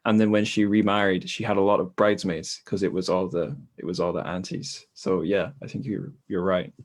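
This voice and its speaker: A man's voice: low voice, flat delivery, brisk. A British man hosting a podcast, talking casually and conversationally with self-aware asides. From United Kingdom. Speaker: podcast host.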